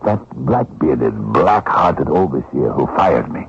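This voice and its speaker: A man playing a scheming, bitter Russian vagrant thief in a radio drama, gravelly and husky, bullying, taunting and wheedling. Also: very deep voice, sing-song, takes it slowly, exaggerated voice. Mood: conspiratorial, urging. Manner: taunting, wheedling, muttered asides and scoffing. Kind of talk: old-time radio drama acting. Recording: dull, lo-fi radio drama recording.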